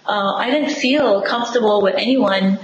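A lively young woman from Singapore speaking over a webcam from her living room. Her voice sits mid-range, with a roomy echo and a faint hiss behind it. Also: medium pitch, moderate pace, lo-fi sound. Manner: enthusiastic, expressive, laughs often. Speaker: interview guest. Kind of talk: casual video-call interview. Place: home living room, indoors. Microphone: video call webcam. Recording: echoey room, faint background noise.

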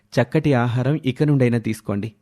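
A man voicing a frank, calm doctor in a storybook tale, explaining things in a measured, advisory manner. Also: medium pitch, natural voice. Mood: serious, reassuring. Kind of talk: animated storybook character acting.

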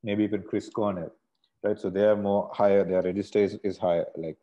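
A man's voice: fairly low pitch, level tone, a little fast, mellow, highs cut off. A man from Sri Lanka, a singer, talking as a guest over a video call in a casual, rambling way with many restarts. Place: bright room indoors. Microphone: earphone mic on a video call.

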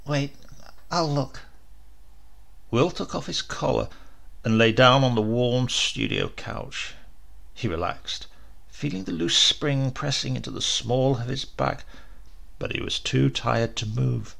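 A man's voice: mid-range voice, big pitch swings, unhurried, creaky, a bit bright. A man narrating a short story as a scripted, dramatic audiobook reading.

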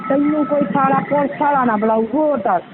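A woman's voice: high-pitched, brisk. A woman with a Malwa Punjabi accent talking casually and assertively on a phone call, insisting on being heard. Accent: Punjabi, Malwa region. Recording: noisy, tinny phone call recording.

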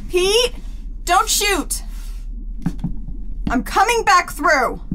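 A woman's voice: very high voice, big pitch swings, very slow, full character voice. A woman playing a frightened, bewildered, pleading woman in an audio drama, earnest and hesitant, in a roomy, noisy recording. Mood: bewildered, anxious. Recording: roomy echo with clear background noise.

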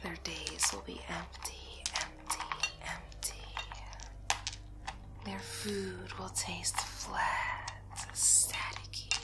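A young woman playing a vengeful witch in a whispered ASMR roleplay, chanting slowly and intently in repeated, incantatory phrases. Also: lowish voice, steady tone. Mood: menacing, hushed. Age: young adult. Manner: slow, hushed, incantatory repetition. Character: vengeful witch casting a hex, intense and soothing. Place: bedroom, indoors. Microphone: close mic, seated near camera.

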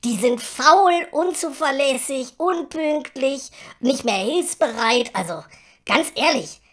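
Woman playing a high-pitched, excitable blue elf. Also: slow. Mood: grumbling. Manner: dramatic, exclamatory, grumbling. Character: excitable, cheeky blue female elf, quick to complain. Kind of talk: comedy audio drama acting.

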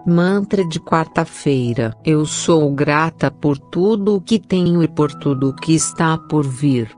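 A woman with a Brazilian accent recites prayers in a solemn, measured way. Her voice sits very low for a woman, and she takes each phrase unhurriedly. Soft music plays faintly behind her. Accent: Brazilian Portuguese. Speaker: prayer reader. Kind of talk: slow scripted prayer reading.

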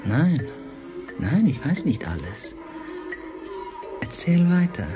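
An actor playing a tender, mysterious male lover in an intimate radio drama, slow and pleading. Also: on the high side, sing-song, music in the background. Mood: insistent.

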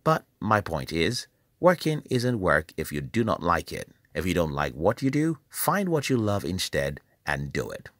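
A man from Nigeria, reading a scripted motivational voice-over in a measured, emphatic, preacherly way. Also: deep voice, sing-song, a bit bright, no room sound, clean studio sound. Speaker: channel host.

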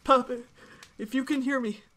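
A woman's voice: sits high, fairly even intonation, moderate pace, a bit bright. A woman voicing a protective, worried eighteen-year-old older brother in an emotional fan audio drama. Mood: urgent, reassuring. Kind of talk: angst-heavy fan audio drama acting. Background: background music.

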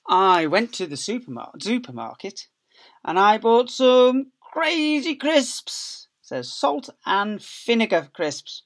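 A man's voice: high-pitched, big pitch swings, takes it slowly, a bit bright. A middle-aged male teacher reading a picture book aloud to young children, playfully stretching key words and making sound effects, recorded on a laptop webcam in a small office. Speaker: school teacher. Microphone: laptop webcam at close range.

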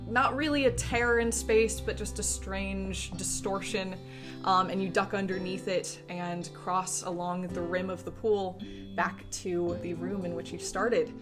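A female game master with a mid-pitched voice narrates a tabletop fantasy game. Music plays behind her descriptions. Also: level tone, unhurried. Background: background music.

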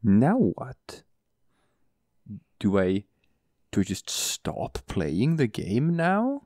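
A male podcast co-host with a booming voice, talking softly and slowly right next to the microphone in casual chat, often chuckling. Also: huge pitch swings, no echo. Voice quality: booming, gravelly voice. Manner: soft, close-mic murmur, chuckles often. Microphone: close studio mic.

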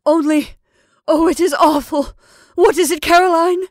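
A woman's voice: extremely high pitch, steady tone, normal speed, no room sound, clean studio sound, pitched above the performer's own voice. A woman voicing an easily alarmed married lady in a story, agitated and fearful. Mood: horrified.

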